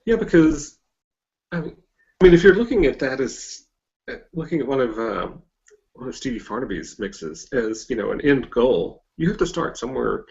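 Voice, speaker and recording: Halting male interviewer on a dull line. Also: mid-range voice, sing-song, gravelly, a little mellow. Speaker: interviewer, host. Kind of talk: casual interview talk.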